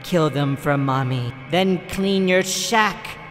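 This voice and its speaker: Man as murderous nagging mother spirit, slightly high, long reverb, music behind, motherly commands, cartoon parody. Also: slow. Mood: scolding. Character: doting, murderous, nagging ghostly mother in her killer son's head. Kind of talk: comedic horror parody acting.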